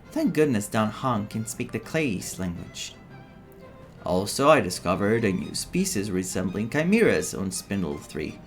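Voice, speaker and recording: A man streaming a story game, reading dialogue aloud and adding his own expressive reactions. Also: mid-range voice. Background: game music.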